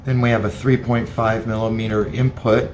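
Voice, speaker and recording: A man's voice: fairly low pitch, level tone, moderate pace, a little mellow. Methodical man reviewing gadgets, faint noise. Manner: plain, methodical step-by-step explaining. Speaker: product reviewer. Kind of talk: hands-on gadget review narration.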